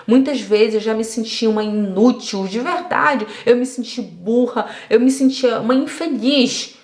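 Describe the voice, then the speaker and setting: A woman's voice: fairly high pitch, measured pace, a little mellow. A woman teaching German online, talking straight to the viewer in an earnest, encouraging way. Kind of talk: motivational online lesson. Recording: echoey room.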